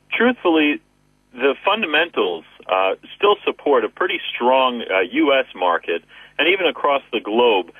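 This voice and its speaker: A man's voice: medium pitch, pushed and bright, no room sound. A man giving market commentary as a guest analyst over the telephone. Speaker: wealth manager, guest analyst. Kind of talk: market commentary in a phone interview. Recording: narrow tinny phone line.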